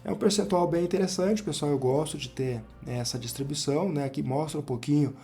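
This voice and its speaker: A man with a Brazilian accent, hoarse from a cold, giving relaxed, chatty commentary on his investment portfolio while sharing his screen. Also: on the high side, fairly quick, soft background music. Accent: Brazilian Portuguese. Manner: relaxed, chatty, frequent "né" and "pessoal". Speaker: finance channel host.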